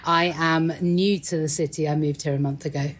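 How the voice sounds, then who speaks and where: A woman's voice: deep voice, fairly even intonation, normal speed. A woman from Great Britain with a British accent, talking as a guest in a conversational interview.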